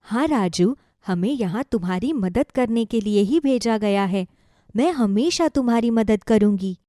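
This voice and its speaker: A woman voicing a benevolent magical cow in an animated fairy tale, calm and reassuring. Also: fairly high pitch, unhurried, no room sound, studio quality.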